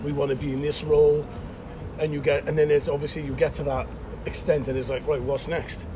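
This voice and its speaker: A Welsh man talks fast and animatedly, his voice sitting a little high. He rambles through stories in a casual podcast chat. The recording carries loud traffic noise. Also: flat delivery. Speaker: actor guest.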